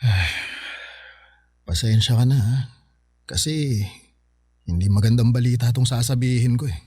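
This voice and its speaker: An actor playing a devoted, tender working-class Filipino husband in a radio drama, earnest and halting in delivery. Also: male voice, medium pitch, dark tone, slightly rough, no room sound, studio quality. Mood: apologetic, downcast.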